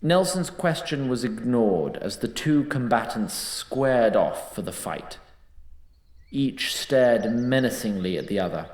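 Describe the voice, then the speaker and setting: A man narrating a fantasy story in a dramatic, deliberate, scripted storytelling style. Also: mid-range voice, animated, a little mellow, echoey room.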